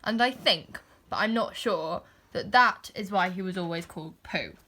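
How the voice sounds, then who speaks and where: A woman's voice: fairly low pitch, moderate pace, pushed and bright. A woman narrating a children's storybook as its reader.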